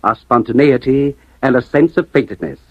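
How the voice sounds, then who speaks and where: Actor as a husky, grandiose American salesman. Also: medium pitch, normal speed, dry recording, natural voice. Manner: persuasive, flowery, emphatic. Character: smooth-talking, persistent male romance-service salesman, genial and grandiose. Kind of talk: 1950s radio drama acting, sales pitch. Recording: dull vintage radio recording.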